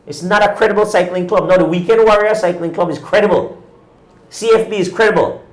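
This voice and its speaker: A man's voice: sits high, a little fast, echoey room, low-quality recording. A man in his fifties hosting a cycling talk show, ranting indignantly and pressing his points with repeated rhetorical questions. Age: 50s. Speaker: cycling podcast host. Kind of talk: opinionated talk-show rant.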